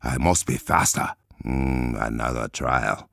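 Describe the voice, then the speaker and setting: A man playing a noble, resolute warrior king in a video game, with an African accent, a deep gravelly voice and formal, measured diction. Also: fairly bright tone, no room sound, studio quality, exaggerated voice.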